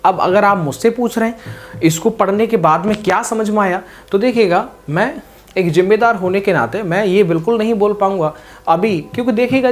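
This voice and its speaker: A woman's voice: medium pitch, darkish tone. Woman explaining, repetitive and direct. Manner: repetitive, explanatory, direct address with verbal tags. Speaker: host of exam update videos. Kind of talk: informal explainer addressed to viewers, reading a notice aloud.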